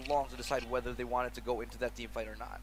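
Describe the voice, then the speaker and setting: A man's voice: medium pitch, flat delivery, slight background noise. A man giving analytical commentary on teams and maps. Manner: analytical, measured. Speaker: esports caster.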